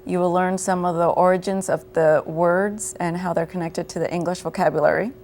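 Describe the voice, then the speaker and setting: Lowish-voiced woman explaining a program. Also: steady tone, fairly quick, a little mellow, slight background noise. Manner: clear, explanatory, gives examples. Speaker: program coordinator guest. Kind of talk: explaining in an interview. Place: local TV studio.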